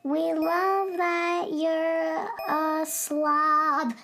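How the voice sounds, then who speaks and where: A woman's voice: extremely high pitch, little pitch movement, very slow, music in the background, full character voice. A woman voicing a small, melodramatic robot pest that loves mess, in a children's radio play with sing-song delivery. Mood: pleading, sing-song. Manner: melodramatic, exclamatory. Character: small pink-eyed icky robot pests, dramatic, mess-loving.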